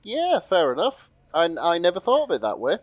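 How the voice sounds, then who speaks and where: Podcast man, sweary casual banter, animated intonation, dry recording. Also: high-pitched, moderate pace, no echo. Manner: sweary, joking. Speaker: podcast co-host.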